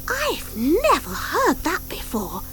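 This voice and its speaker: A woman voicing a brisk, sensible young schoolgirl witch in an audiobook, forthright, recorded on old cassette. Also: very high voice, huge pitch swings, fairly bright tone, faint music behind, voice pushed up, exaggerated voice. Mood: earnest, surprised. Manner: forthright, exclaiming.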